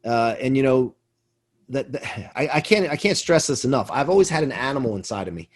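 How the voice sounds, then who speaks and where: A man's voice: medium pitch, expressive, a little fast, breathy edge. A man in his forties speaking emphatically and motivationally as an interview guest, with a driven manner, repeating words for stress. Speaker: podcast guest, performance coach and business manager.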